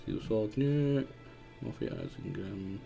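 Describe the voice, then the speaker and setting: A man's voice: on the low side, takes it slowly, vocal fry, warm tone, faint music behind. A man with a Scottish accent giving casual, chatty commentary as he plays a game live. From Scotland. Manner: chatty, muttering to himself. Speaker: streamer.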